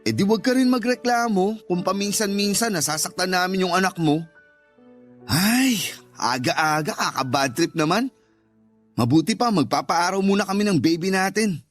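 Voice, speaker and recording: A man's voice: sits high, lively intonation, normal speed, soft background music, voice pushed up. A man playing a callous, irritable husband in a Tagalog radio drama, curt and dismissive. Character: callous, irritable husband who resents his stepchild.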